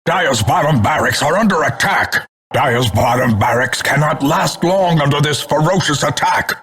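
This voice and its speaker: An actor playing a grim, scornful older male announcer in a video game, grandiloquent and portentous, with a gravelly, husky voice. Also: on the high side, animated, moderate pace, fairly bright tone, exaggerated voice. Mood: urgent warning. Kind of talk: theatrical scripted game announcements.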